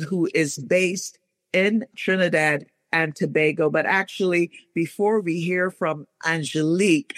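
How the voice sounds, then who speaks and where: A man's voice: a little high, fairly bright tone, no room sound. A man hosting a current-affairs talk show, commenting and introducing guests earnestly in a conversational way. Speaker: radio show host.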